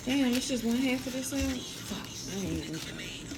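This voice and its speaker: A woman's voice: on the high side, lively intonation, normal speed, poor audio. A woman in her twenties bantering and teasing playfully while eating on camera. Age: 20s. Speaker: vlogger. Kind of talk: casual mukbang chat. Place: indoors by a concrete wall, eating at a table. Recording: loud music playing underneath.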